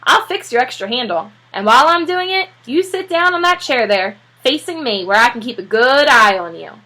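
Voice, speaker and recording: A woman's voice: fairly high pitch, expressive, normal speed, sharp tone. A woman voicing an argumentative, skeptical male repairman in a storybook, with folksy grammar and an exasperated, sarcastic manner. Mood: grudging.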